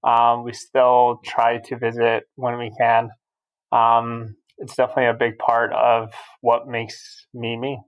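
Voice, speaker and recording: A man in his thirties from Albania, a guest on a podcast, who talks through his life in a casual, steady way. His pitch is middling and his intonation stays level with little rise and fall. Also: measured pace, muffled recording. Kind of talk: casual interview chat.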